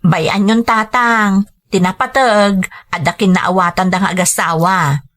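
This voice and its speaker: An actress playing a dutiful, reassuring adult daughter working abroad in a radio drama, with an Ilocano accent. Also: female voice, mid-range voice, steady tone, measured pace, fairly bright tone, natural voice.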